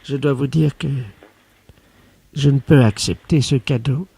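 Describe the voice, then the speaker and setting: A man voicing a prim, lovestruck older female secretary in a prank call, speaking formally and hesitantly in a raspy voice. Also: medium pitch, a little slow, warm tone, pitched above the performer's own voice, heavily acted. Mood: prim, reluctant. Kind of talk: prank phone call character acting.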